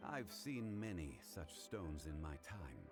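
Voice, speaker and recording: A man voicing a composed, erudite and dignified connoisseur in a video game, speaking in a measured, formal way. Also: deep voice, sing-song, over music, full character voice. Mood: assured.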